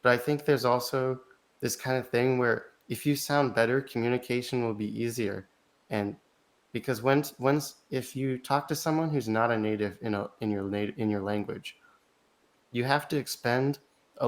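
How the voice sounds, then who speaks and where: A man as an interview guest, answering thoughtfully in casual conversation, often restarting his sentences and filling gaps with "like". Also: mid-range voice. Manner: thoughtful, halting, with frequent restarts and "like" fillers. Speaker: interview guest, language learner.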